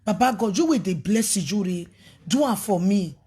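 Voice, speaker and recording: A woman's voice: mid-range voice, lively intonation, moderate pace, dark tone, breathy edge. A woman, a ministry leader talking on a live video, preachy and emphatic, mixing languages. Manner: mixes pidgin, English and a local language, preachy and emphatic. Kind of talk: animated livestream talk.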